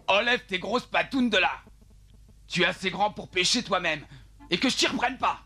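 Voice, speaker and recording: A man voicing a bold, teasing wolf-dog hero with a gravelly, punchy delivery in a French cartoon dub. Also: high voice, moderate pace, sharp tone. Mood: scolding.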